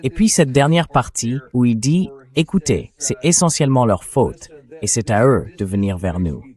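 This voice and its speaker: A man's voice: medium pitch, lively intonation, moderate pace, darkish tone. A man delivering blunt, argumentative political commentary in a dubbed, conversational style.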